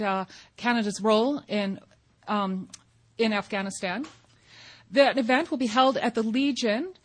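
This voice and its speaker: A woman moderating a public affairs forum, making announcements in a businesslike way with some restarts. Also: fairly high pitch.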